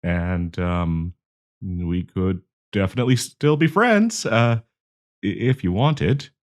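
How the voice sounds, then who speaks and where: A man's voice: low-pitched, expressive, slow. A man playing a nervous, awkward young man shyly confessing love to a friend in an audio roleplay, stammering and hesitant. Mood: shy, embarrassed. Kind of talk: intimate audio roleplay acting.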